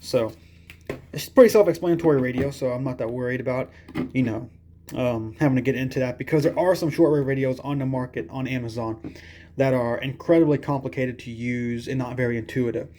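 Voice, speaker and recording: Mellow man giving a casual gadget review. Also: medium pitch, brisk, slight background noise. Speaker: product reviewer. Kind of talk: casual hands-on product review.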